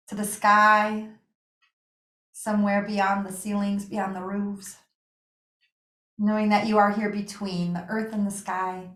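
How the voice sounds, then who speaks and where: Woman, medium pitch, meditation teacher, soothing, unhurried, spacious pauses, roomy echo. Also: fairly bright tone. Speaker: yoga nidra meditation teacher. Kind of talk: guided meditation. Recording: echoey room.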